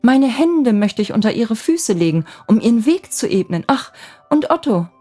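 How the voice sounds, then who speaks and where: A storybook young girl in love, played by a woman narrator, with a high, lively voice. She pleads coyly and a little breathlessly, teasing and tender yet easily flustered. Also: moderate pace. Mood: anxious, pleading.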